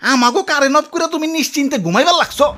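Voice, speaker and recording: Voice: high-pitched, rapid-fire, noisy background, natural voice. A performer voicing a hot-tempered cartoon complainer who scolds and rants in slangy speech. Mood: irritated ranting. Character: hot-tempered, sweaty cartoon complainer ranting at the electricity office. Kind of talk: comic cartoon dialogue. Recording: clear music behind the voice.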